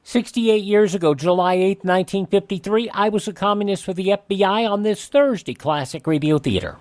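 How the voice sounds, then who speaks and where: A man's voice: high voice, fairly quick. A man hosting a radio program, reading scripted announcements. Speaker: radio show host.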